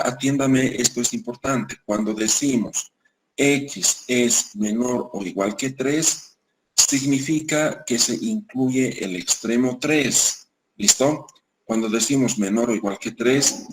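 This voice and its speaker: Male math lecturer, mid-pitched, patient and repetitive, checks in with students constantly, slows right down on key rules. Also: slight background noise, low-quality recording. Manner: repeats points, keeps checking with "¿listo?". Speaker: math teacher. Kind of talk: classroom lecture.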